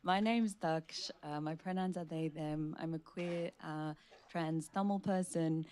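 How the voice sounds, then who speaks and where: A woman with an Australian accent speaking as a panelist, casual and halting with frequent ums. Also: low-pitched, fairly even intonation, darkish tone, slight background noise. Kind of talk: panel talk.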